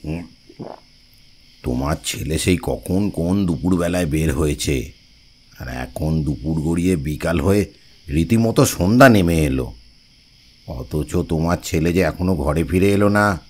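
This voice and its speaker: An actor playing a grumbling, sarcastic middle-aged head of a village household in an audio drama. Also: male voice, rumbling low, a little slow, long pauses, a little mellow, exaggerated voice. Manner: gruff, sarcastic, scolding.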